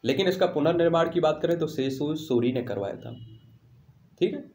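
Man, Indian accent, online teacher, mellow tone, instructional, repetitive quiz delivery, roomy echoing recording. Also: on the high side, expressive, fairly quick. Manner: instructional, repeats questions and answers. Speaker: teacher, online exam coach. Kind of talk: question-and-answer history lesson.

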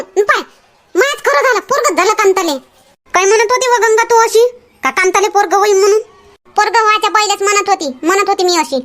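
A woman's voice: very high voice, a bit bright. A woman voicing a chatty, bickering village woman in a comedy cartoon, with a Varhadi Marathi accent and a gossipy, teasing manner. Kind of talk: homemade comedy cartoon dialogue.

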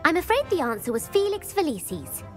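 A woman's voice: extremely high pitch, big pitch swings, fairly quick, soft background music. A young woman voicing a bookish student in a video game who eagerly lectures and quizzes others. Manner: eager and lecturing, bursts of praise.

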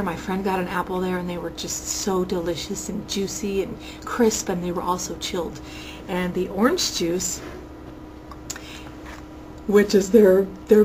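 A woman in her forties chats into her webcam with gushing enthusiasm, her voice sitting at a middling pitch. The sound is a close built-in webcam mic in a small room at home, with a faint hiss underneath. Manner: gushing and enthusiastic, exclaims over things. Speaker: vlogger reviewing local outings. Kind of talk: casual chatty review to webcam. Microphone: built-in webcam mic at close range.

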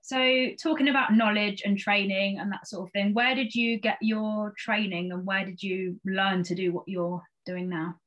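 A woman's voice: medium pitch, steady tone, moderate pace, hardly any pauses, fairly bright tone, highs cut off. Female host, 30s, mid-range voice, conversational interviewing style on a studio mic. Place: small indoor room, video call. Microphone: studio microphone on a stand.

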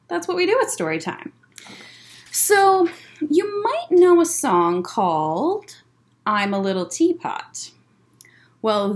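A young adult woman hosting a children's story time, explaining things simply as she chats and reads aloud. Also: sits high. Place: library room with bookshelves, indoors. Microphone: webcam or laptop at desk distance.

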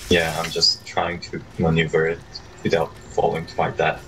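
Deep-voiced man chatting over distant voice chat. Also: monotone, normal speed, gravelly, fairly bright tone, a little hiss or hum. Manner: easygoing, slangy banter. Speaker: co-op gaming partner. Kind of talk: casual co-op gameplay chat.

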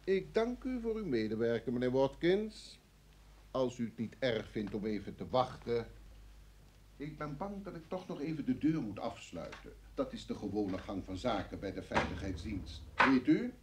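An actor in a radio play playing a cool, coercive security service official, formal and smoothly insistent. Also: male voice, medium pitch, very animated, normal speed, a little hiss or hum, close to an everyday voice. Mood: smooth, controlled.

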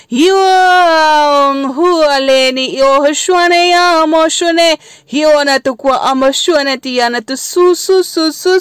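A woman with a high, light voice chants a spiritual light language at an unhurried pace. Her syllables roll in long repeated runs and slip into gentle singing. The sound is close and dry, with no room around it. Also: no room sound. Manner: slow flowing chant, syllables repeated in rhythmic runs, drifting into soft singing. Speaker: spiritual healer.

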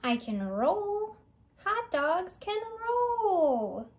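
A woman's voice: extremely high pitch, sing-song, extremely slow, voice pushed up, exaggerated voice. A woman voicing a chipper, dramatic, easily alarmed talking hot dog in a childlike, exclamatory way. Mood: excited.

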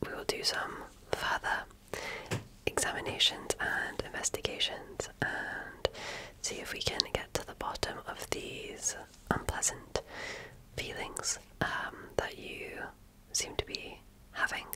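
Slow, whispering ASMR woman. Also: poor audio. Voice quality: soft breathy whisper. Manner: gentle, attentive, reassuring clinician. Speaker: ASMR creator playing an alien medical examiner. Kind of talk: ASMR roleplay, whispered personal-attention exam.

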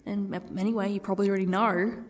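A woman playing a defiant, sardonic captive in an audio roleplay, speaking haltingly and often sinking toward a whisper. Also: medium pitch, steady tone, close to an everyday voice. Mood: uneasy, halting. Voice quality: husky, breathy, creaky. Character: defiant, sardonic captive woman facing her ex's torture. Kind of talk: audio roleplay, one-sided dialogue.

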